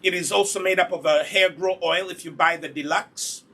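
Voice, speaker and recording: A Ugandan man with a fairly high, bright voice that has a husky grain. He hosts a live demonstration in a casual, chatty way. He instructs as he goes and often repeats key points for his viewers. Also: steady tone, normal speed. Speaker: host, natural hair product seller and demonstrator.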